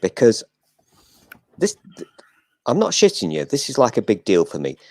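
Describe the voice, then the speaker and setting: An older man hosting a casual live chat, talking in a flat, self-deprecating way and swearing now and then. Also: fairly low pitch, normal speed, dry recording.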